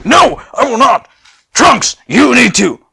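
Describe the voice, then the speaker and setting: A man's voice: very high-pitched, big pitch swings, takes it slowly, creaky, pushed and bright, slightly rough, no echo, voice pushed up, heavily acted. A man voicing a defiant, fiercely protective young alien hero in an amateur story reading. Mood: furious, defiant.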